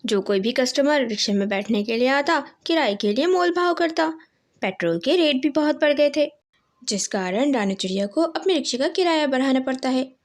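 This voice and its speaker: A woman narrating a scripted children's cartoon story in an expressive, dramatic storytelling manner. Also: high voice, normal speed.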